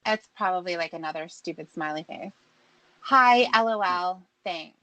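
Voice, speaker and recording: A woman's voice: fairly low pitch, moderate pace, fairly bright tone, dry recording, rough recording. A woman giving short, casual chat replies.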